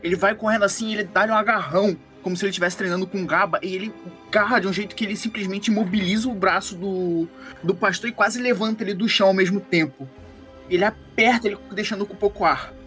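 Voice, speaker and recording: A man's voice: high voice, fairly even intonation, fast talker, pushed and bright, faint music behind. A man with a Brazilian accent casually narrating his character's actions as a tabletop game player.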